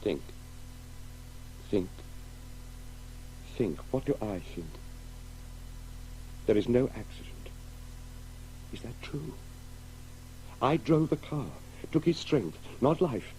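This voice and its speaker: An actor playing a tormented, guilt-ridden father in a 1980s radio drama, halting and repeating himself as he broods. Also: mid-range voice, big pitch swings, mellow. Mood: haunted, brooding. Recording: noisy old radio broadcast with hum.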